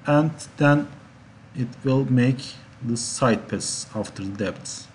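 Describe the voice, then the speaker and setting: Man, software instructor, slow halting delivery, medium pitch, faint noise with typing behind. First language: Turkish. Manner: halting, step-by-step explaining. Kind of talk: software tutorial narration. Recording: steady background noise, keyboard clicks.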